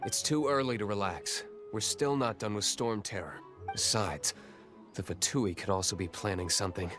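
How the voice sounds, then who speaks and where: A man's voice: on the low side, moderate pace, music in the background, close to an everyday voice. An actor playing an aloof, dry-witted young nobleman in a video game, with a cool and scornful manner. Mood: cool, serious.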